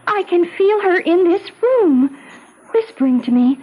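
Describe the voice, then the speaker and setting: A woman's voice: very high voice, expressive, unhurried, warm tone. An actress playing a volatile teenage orphan girl, pleading and sly, in a 1970s radio drama. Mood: breathless, rapturous. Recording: noisy vintage 1970s radio recording.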